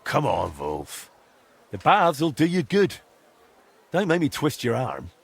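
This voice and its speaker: An actor playing a determined young blacksmith hero in a video game, coaxing and firm, with a husky voice. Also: male voice, medium pitch, huge pitch swings, takes it slowly, exaggerated voice. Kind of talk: video game drama acting.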